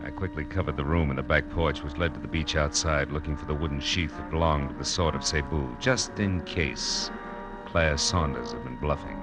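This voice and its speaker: An actor playing a tough, wry private detective, clipped and sardonic, in 1940s radio noir style. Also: male voice, extremely low pitch, normal speed. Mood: wry, grim. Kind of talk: 1940s radio noir acting with first-person narration. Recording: old radio broadcast with music.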